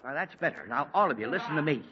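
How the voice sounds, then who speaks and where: Man acting fussy headachy father, 1940s radio sitcom, lively swooping pitch, lo-fi broadcast sound. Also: racing, natural voice. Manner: blustering, fussy, flustered outbursts. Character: exasperated middle-aged father with a headache. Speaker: radio actor.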